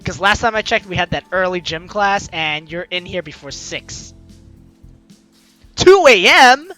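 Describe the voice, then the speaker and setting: A young adult let's play host with a bright, forceful voice that swings widely in pitch. The delivery is playful and theatrical, moving between commentary and reading lines aloud, with faint game music underneath. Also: lively intonation, unhurried. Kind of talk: casual gameplay commentary with dramatic reading of dialogue.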